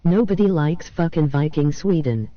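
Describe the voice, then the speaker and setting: A man's voice: a little high, lively intonation, normal speed, no room sound, muffled recording, pitched above the performer's own voice, natural voice. A man reading out chat in a raised voice. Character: viewers' chat messages, read in a higher put-on voice.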